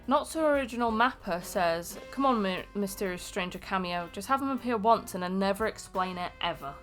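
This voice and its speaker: A woman's voice: mid-range voice, fairly quick, soft background music. A woman co-hosting a casual fan discussion in a conversational style. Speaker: video co-host.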